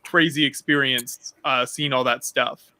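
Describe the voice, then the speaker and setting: A man's voice: mid-range voice, steady tone, measured pace, bright, forceful tone. A man co-hosting a live video-call stream, easygoing and friendly, with lots of "uh" fillers and light teasing. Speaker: co-host. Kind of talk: casual live-stream chat.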